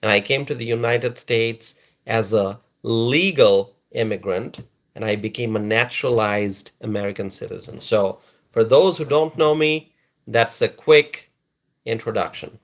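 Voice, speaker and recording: A man's voice: lowish voice, a little slow. A man from Bangladesh hosting a casual live stream, reading viewers' comments aloud and repeating phrases for emphasis.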